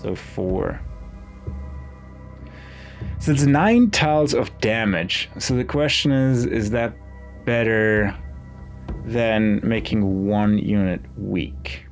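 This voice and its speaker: A man's voice: fairly low pitch, takes it slowly, long pauses. A man doing casual game commentary, thinking aloud in a musing, hesitant way as he reads game text. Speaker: gaming video host. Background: game music.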